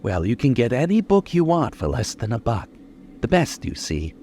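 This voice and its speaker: An actor playing a lonely, menacing killer in a 1940s-style radio suspense drama, talking in slow, colloquial tough-guy speech. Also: medium pitch, big pitch swings, mellow, slight background noise, natural voice. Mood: brooding, wistful.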